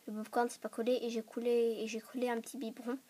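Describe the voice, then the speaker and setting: A woman with a stuffy voice from a cold, rambling quickly through a casual show-and-tell vlog and often dropping to a whisper. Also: on the high side, flat delivery, mellow. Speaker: vlogger showing a toy dollhouse. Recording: clear background noise and clicks.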